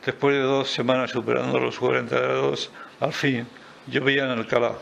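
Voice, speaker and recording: A man's voice: mid-range voice, level tone, normal speed, vocal fry, dull audio. A man with an accent from Spain reading story narration for an amateur radio play, recorded at home. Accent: Spanish from Spain. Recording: noisy homemade recording with clicks.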